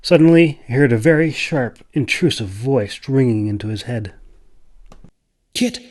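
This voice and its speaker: A man's voice: medium pitch, lively intonation, normal speed, a little mellow. A man reading scripted narration for a fantasy adventure audiobook. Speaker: author narrating his own audiobook.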